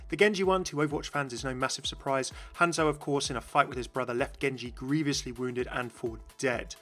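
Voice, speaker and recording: A man's voice: medium pitch, fairly even intonation, brisk, fairly bright tone, soft background music. A man giving upbeat, informative explanatory commentary as narrator of a video game channel, recorded close on mic.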